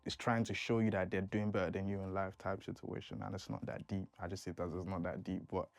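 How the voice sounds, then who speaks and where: A man talking casually and in a laid-back way in a documentary interview, sometimes dropping into quiet asides. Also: sits low, level tone, fast talker, creaky, dry recording. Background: faint music.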